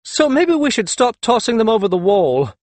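A man's voice: sits high, sing-song, moderate pace, a little mellow, no room sound, voice pushed up. A man voicing an earnest, fair-minded young male dragon rider who reasons things out in an audiobook. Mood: amused protest.

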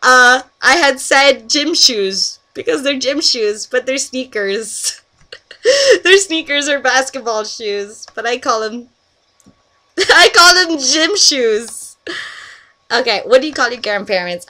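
A woman's voice: high voice, expressive, measured pace, pushed and bright. A young Canadian woman on a headset mic in her bedroom, chatting casually through a list of words and questions, often giggling. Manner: giggly, chatty, breaks off to scold friends on a call. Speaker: vlogger doing an accent meme. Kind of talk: casual webcam vlog. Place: small bedroom indoors. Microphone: headset microphone.

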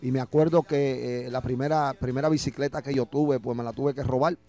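A man's voice: mid-range voice, steady tone, brisk, dark tone. A middle-aged man with a Puerto Rican accent giving a personal religious testimony, often adding little tags that seek agreement, close to a studio microphone. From Puerto Rico. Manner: frequent verbal tags seeking agreement. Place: small radio studio. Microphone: close broadcast microphone with headphones.

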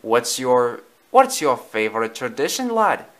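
A man's voice: mid-range voice, sing-song, measured pace, fairly bright tone. A man voicing a friendly, hearty male dog with a thick Scottish accent in a story reading.

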